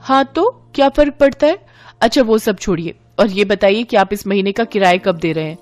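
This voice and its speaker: A woman's voice: mid-range voice, a little fast, a little mellow, faint music behind, lightly acted. A woman voicing a spoiled, blunt young married woman with a breezy, pert manner in an audio story.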